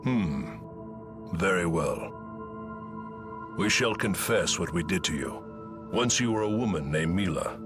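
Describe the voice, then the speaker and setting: Deep, grave elder spirit. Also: male voice, expressive, music in the background. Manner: formal, measured, solemn. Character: grave elder great spirit, stern and authoritative. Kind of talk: dramatic video game voice acting.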